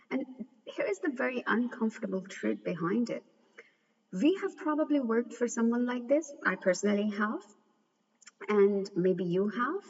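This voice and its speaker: A woman's voice: mid-range voice, a little mellow. Woman reviewing a book in an echoey room. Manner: earnest and measured, with occasional stumbles. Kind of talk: reflective book commentary. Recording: dull, echoey recording from a distance.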